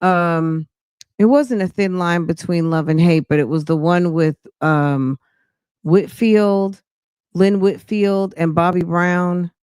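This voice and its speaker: A woman's voice: lowish voice, slow, darkish tone, smooth, dry recording, clean studio sound. A woman podcast host giving casual, gossipy reaction commentary in a slangy, sassy way, speaking straight to her listeners.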